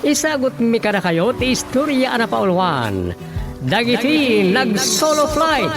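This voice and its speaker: A man's voice: very high voice, very animated, unhurried, exaggerated voice. A man with an Ilocano accent acting in a Filipino radio drama as a village man. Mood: dramatic announcing. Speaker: radio drama narrator and actor. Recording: music bed behind the voice.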